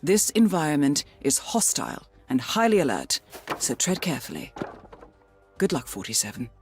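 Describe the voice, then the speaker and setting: Measured woman handler with lively intonation. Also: deep voice, exaggerated voice. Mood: composed, businesslike. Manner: measured, formal, articulate. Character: composed, shrewd woman handler at an assassination agency. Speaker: mission handler briefing an assassin. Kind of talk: scripted video game voice acting. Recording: music under the voice.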